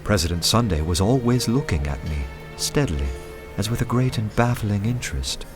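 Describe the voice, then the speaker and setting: An actor playing an anxious undercover detective, narrating a radio play in the first person, earnest and dramatic. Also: male voice, lowish voice, expressive, moderate pace, over music, close to an everyday voice. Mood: uneasy.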